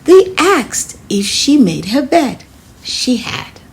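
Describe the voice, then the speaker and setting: A woman reading a children's story aloud in an expressive way, speaking to her young listeners as a host. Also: high-pitched, takes it slowly, faint music behind. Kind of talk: storybook read-aloud narration.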